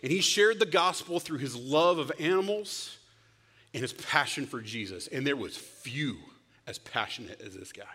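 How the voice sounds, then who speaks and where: A man preaching a sermon, telling stories with loud bursts and sudden hushed whispers. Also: medium pitch, expressive, moderate pace, husky. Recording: long hall reverb.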